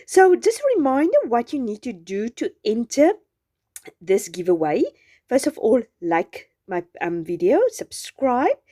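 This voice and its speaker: A South African woman whose first language is Afrikaans, chatting gently through a journaling craft voice-over. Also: on the low side. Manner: gentle, chatty, meandering with restarts. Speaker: journaling channel host.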